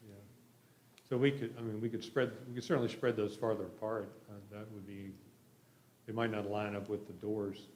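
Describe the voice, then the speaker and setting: A man's voice: on the low side, level tone, moderate pace, a little mellow. A man explaining a development plan informally at a public meeting, with tentative, hesitant phrasing. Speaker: project applicant presenting a development plan. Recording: roomy echo with faint background noise.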